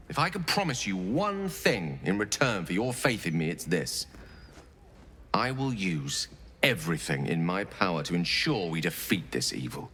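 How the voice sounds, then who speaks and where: Eloquent, bright-voiced wizard in reverberant hall. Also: male voice, low voice, full character voice. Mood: earnest determination. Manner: theatrical, articulate, wry. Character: eloquent, self-mocking male wizard, proud yet rueful. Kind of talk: dramatic video game dialogue.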